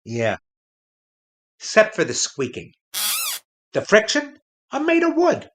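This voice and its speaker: A disgruntled old-pro ventriloquist dummy in a comic audio play. His voice is sharp and forceful and leaps up and down as he gripes. Every complaint comes out sardonic and emphatic. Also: high voice, wildly swooping pitch, slow, slight background noise, full character voice. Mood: weary, wisecracking. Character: disgruntled, wisecracking wooden male ventriloquist dummy, aging showbiz veteran.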